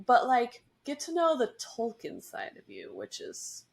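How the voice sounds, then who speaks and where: Bubbly podcast host woman with a lively voice. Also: a little high, unhurried, gravelly. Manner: bubbly, chatty, rambling. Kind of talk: casual interview chat.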